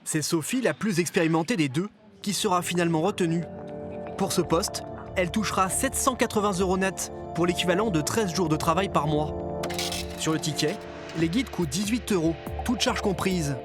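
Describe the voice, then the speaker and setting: A male documentary narrator with a notably high-pitched voice. He delivers scripted reportage voice-over, with music clearly playing behind him. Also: normal speed.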